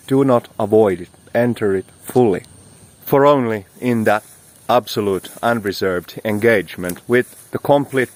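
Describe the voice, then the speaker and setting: A man delivering a motivational spiritual monologue as a teacher, speaking in an emphatic, poetic, exhorting way. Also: fairly low pitch.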